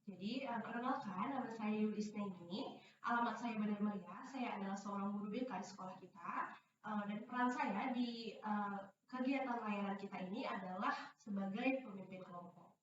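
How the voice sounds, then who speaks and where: A woman's voice: mid-range voice, moderate pace. A young woman leading a group counseling session in a teacherly way, with many hesitant fillers and frequent checks that everyone understands. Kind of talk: classroom-style instruction. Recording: echoey room, distant dull mic, faint noise.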